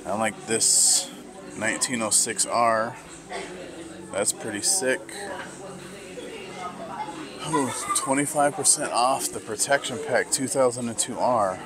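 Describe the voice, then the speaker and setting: A man vlogging casually as he browses sneaker stores in a mall, talking slowly and musing to himself with lots of fillers. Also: mid-range voice, over a noisy crowd. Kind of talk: casual walk-through commentary.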